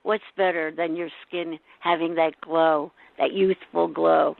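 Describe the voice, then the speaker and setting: A woman in her late sixties giving an informal, unhurried testimonial. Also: low-pitched, little pitch movement, vocal fry, dry recording. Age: late 60s. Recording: tinny phone-like line.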